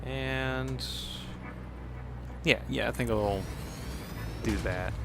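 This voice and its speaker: A man's voice: medium pitch, extremely slow, vocal fry. A man streaming a game, chatting casually and rambling as he plays, a bit nasal from a cold. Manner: rambling, reacts to chat, chuckles often. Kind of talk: casual commentary while playing. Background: game music playing.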